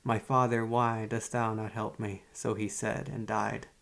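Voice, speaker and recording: A man playing a calm, morbidly literary psychiatrist in an ASMR roleplay, speaking in a measured, solemn and quietly controlling way. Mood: solemn, grave. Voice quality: low, gravelly. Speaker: therapist. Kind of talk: ASMR roleplay, poetry recitation and monologue.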